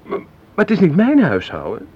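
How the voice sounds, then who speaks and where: An actor in a radio play playing an earnest, lovestruck young man who speaks in a halting, pleading, bewildered way. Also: high-pitched, huge pitch swings, husky, heavily acted. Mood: shocked, protesting. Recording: muffled, hissy old radio play recording.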